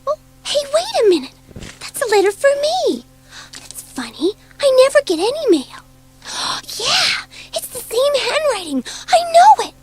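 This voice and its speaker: An impulsive schoolgirl in a children's audio drama, with a very high, girlish voice. Her delivery is breathless and eager, swinging widely in pitch. Soft music plays behind her. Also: wildly swooping pitch, measured pace, full character voice. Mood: excited. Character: bored, impulsive, excitable schoolgirl dabbling in magic.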